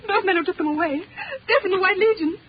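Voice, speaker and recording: A woman's voice: very high voice, quick pace. A woman playing a frightened, distraught wife in a 1930s radio drama, tearful and weak. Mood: tearful, pleading. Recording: muffled 1930s radio, loud crowd behind.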